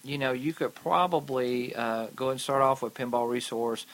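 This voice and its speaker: A man co-hosting a podcast, giving relaxed, practical advice on repairing arcade and pinball machines. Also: mid-range voice, normal speed, poor audio. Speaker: repair expert co-host. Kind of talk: casual technical advice.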